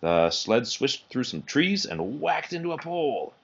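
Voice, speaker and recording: A man reading a children's picture book aloud in an expressive, animated storytelling way. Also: mid-range voice, unhurried, vocal fry, a little hiss or hum. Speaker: storybook reader. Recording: dull, muffled lo-fi recording.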